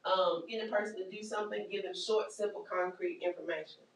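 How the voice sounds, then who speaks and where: Woman trainer, loud and animated, teaching caregivers; roomy distant sound. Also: mid-range voice, fairly quick. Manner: animated, joking, acts out scenes, Southern-style casual grammar. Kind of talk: lively workshop teaching. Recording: echoey room from far off, faint noise.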